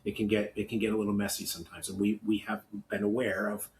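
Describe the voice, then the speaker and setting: A Canadian man co-hosting a podcast, talking casually and with opinion, in a halting, rambling way with self-corrections. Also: lowish voice, flat delivery, a little hiss or hum, low-quality recording. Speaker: podcast co-host. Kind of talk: opinionated casual podcast talk, partly reading notes.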